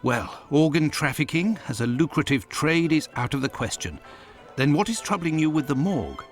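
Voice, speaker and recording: Earnest British doctor, lively intonation. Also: male voice, on the high side, normal speed, soft background music. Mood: concerned. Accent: British English. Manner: earnest, polite, inquiring. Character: earnest, concerned male Victorian English doctor, detective's companion. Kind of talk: dramatic video game dialogue.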